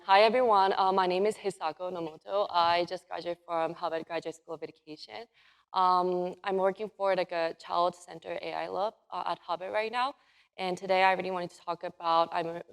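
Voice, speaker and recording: A young woman from Japan, speaking English with a Japanese accent as a first language other than English, giving a casual conference talk full of fillers and halting phrasing. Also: fairly low pitch, smooth. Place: conference hall.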